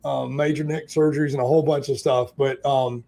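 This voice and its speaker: A man's voice: medium pitch, steady tone, brisk, gravelly, mellow. A man hosting a leadership interview show, asking long, enthusiastic, rambling questions. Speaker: podcast host.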